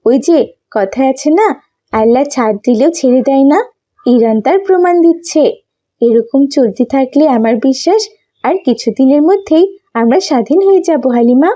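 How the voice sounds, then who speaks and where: Woman voicing high, fervent young cartoon woman, muffled. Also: lively intonation, normal speed, mellow. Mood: confident, excited. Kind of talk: cartoon dialogue acting.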